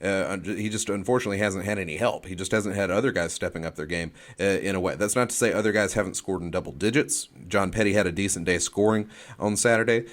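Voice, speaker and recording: Male sports show co-host, low-pitched voice, halting phrasing with repeated words, unscripted analysis.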